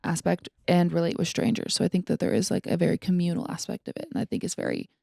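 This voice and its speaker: A woman's voice: lowish voice, very animated, fast, creaky, mellow, no echo, studio quality. A young woman co-hosting a podcast, chatting casually and giving opinions in very informal speech sprinkled with "like" and mild swearing. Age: young adult. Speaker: podcast co-host.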